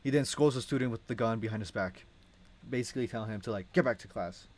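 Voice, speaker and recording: A man's voice: mid-range voice, fast. A young adult man narrating a script in an informal, amused way, often interrupting himself. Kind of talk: casual script read-along.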